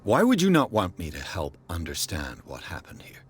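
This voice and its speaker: An actor playing a composed, persistent private detective in a video game, speaking in a measured, probing, firm way. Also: male voice, low voice, huge pitch swings, breathy edge, full character voice. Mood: calm, probing. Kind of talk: video game cutscene acting.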